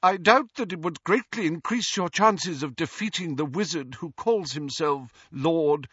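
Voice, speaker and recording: A man's voice: medium pitch, big pitch swings, measured pace, fairly bright tone, husky, dry recording, muffled recording, pitched above the performer's own voice, heavily acted. A man voicing a proud, cold young woman ghost in an audiobook, with a stiff, disdainful and guarded manner. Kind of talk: audiobook character voice.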